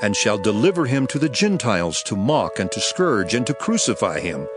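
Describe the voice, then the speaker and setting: A man playing a solemn teacher of parables in a dramatized scripture recording, speaking in a measured, declamatory way. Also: lowish voice, very animated, over music. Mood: grave.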